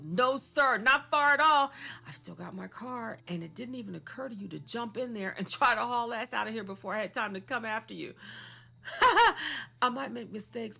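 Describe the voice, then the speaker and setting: A woman's voice: medium pitch, creaky, sharp tone. An actress playing a gleefully unhinged young female killer in an audio horror drama, chatty, taunting and mocking. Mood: gleeful menace. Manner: taunting, chatty, foul-mouthed, swinging between glee and menace.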